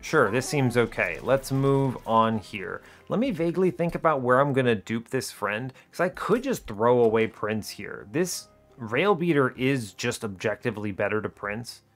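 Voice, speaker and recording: Male gaming host, mid-pitched, casual and analytical, talking through decisions with faint music underneath. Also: animated, moderate pace, fairly bright tone. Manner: explains his reasoning as he plays, self-questioning. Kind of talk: casual strategy game commentary, thinking aloud. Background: music behind the voice.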